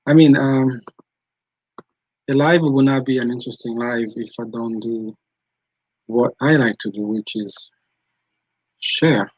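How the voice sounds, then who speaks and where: A man's voice: medium pitch, fairly even intonation, measured pace, long pauses, a little mellow, breathy edge, no echo, poor audio. A man from Rwanda, hosting a live stream, chatting casually and answering viewers' comments as they come in. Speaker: channel host.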